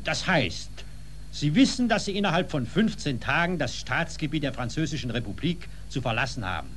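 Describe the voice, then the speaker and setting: An actor playing a formal, patronizing prison governor in a 1940s radio drama. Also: male voice, on the high side, expressive, brisk, fairly bright tone. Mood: officious. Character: officious, blandly condescending male prison governor. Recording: noisy 1940s radio recording.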